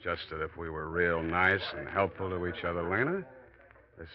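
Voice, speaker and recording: A man's voice: deep voice, fairly even intonation, moderate pace, crowd noise, close to an everyday voice. A man playing a scheming, hard-boiled American office man in 1940s radio acting style. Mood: smooth, probing. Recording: muffled, noisy old radio recording.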